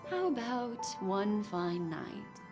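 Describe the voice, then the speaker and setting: A woman's voice: medium pitch, big pitch swings, takes it slowly. An actress voicing an enigmatic, teasing and seductive woman in a video game, poised and coy with lingering, theatrical phrasing. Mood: teasing, alluring. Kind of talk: scripted video game character dialogue. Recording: clean voice over game music.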